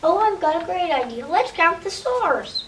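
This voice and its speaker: A young girl playing an excitable, stubborn, pleading pigeon in a school reader's theater. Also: extremely high pitch, moderate pace, full character voice. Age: child. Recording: roomy, distant mic with some noise.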